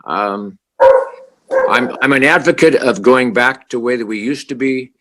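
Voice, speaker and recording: A councilman with a loud voice, earnest and halting, who stumbles over his own starts. His pitch sits in an ordinary middle range, and he comes through a remote video call. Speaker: city councilmember. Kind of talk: council meeting discussion.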